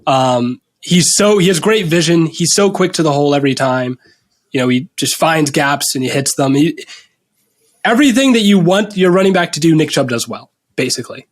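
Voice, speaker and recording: A man's voice: fairly high pitch, animated, moderate pace. A young man hosting a casual sports podcast, upbeat and joking as he runs the show. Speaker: podcast host.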